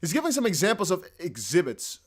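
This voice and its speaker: A man with a Dutch accent, a native Dutch speaker, giving chatty, excitable live commentary on a court hearing. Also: sits high, brisk. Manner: excitable, chatty, laughs often. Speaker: crypto news streamer.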